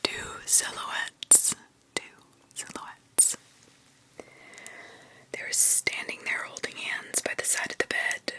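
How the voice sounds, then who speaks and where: A woman whispering spooky stories ASMR-style, pausing to react with disbelief and the odd swear. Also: low-quality recording. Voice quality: whispered throughout. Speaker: ASMR storyteller reading scary stories.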